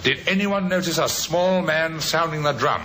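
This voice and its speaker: Bright-voiced, brisk police inspector man. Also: fairly high pitch. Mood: businesslike. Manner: businesslike, clipped questioning. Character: brisk, authoritative male Scotland Yard inspector. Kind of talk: 1950s radio drama acting.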